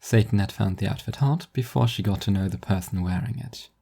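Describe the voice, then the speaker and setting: A man's voice: lowish voice, fairly quick, creaky, dark tone. A man reading scripted fiction as an audiobook narrator.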